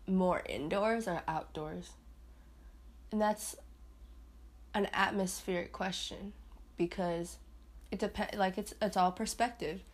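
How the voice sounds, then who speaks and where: Rambling, self-mocking young woman, lowish voice. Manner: chatty, rambling, laughs at herself; swings into high put-on voices. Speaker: podcast host. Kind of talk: casual solo podcast talk.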